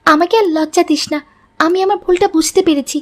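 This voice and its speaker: Very high-pitched, headstrong college girl, acted by a woman, young, cartoon dialogue. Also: fairly even intonation, fast. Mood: friendly, earnest. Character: headstrong college girl Fatema, later remorseful. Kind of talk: Bengali moral story cartoon dialogue.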